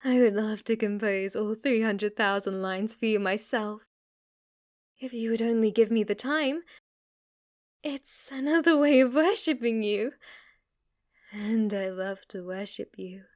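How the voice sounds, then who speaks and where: A woman's voice: mid-range voice, a little slow, pauses often, no echo, clean studio sound, natural voice. A woman playing an obsessively devoted, submissive maid in an ASMR roleplay, speaking formally, reverently and flirtatiously to her master. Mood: eager, adoring.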